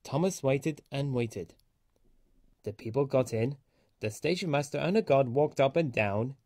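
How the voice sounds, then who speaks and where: A man reading a children's story aloud as an expressive storyteller. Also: medium pitch, measured pace, no room sound, clean studio sound.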